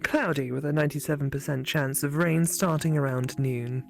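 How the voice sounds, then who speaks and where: A woman voicing a calm, devoted, polite female AI program in a visual novel reading. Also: low voice, normal speed, vocal fry, soft background music. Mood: helpful.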